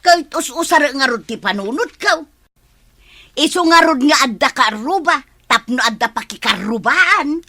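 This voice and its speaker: A woman's voice: high-pitched, expressive, normal speed, bright, forceful tone, heavily acted. A woman acting a cheeky freeloading neighbour in a comedy radio drama, giggly and drawling, with an Ilocano accent. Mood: breezy.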